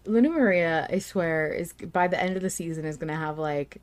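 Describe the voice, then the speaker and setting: Female podcast co-host, lowish voice, informal and speculative, casual fan chat. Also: a little fast, clear, resonant tone.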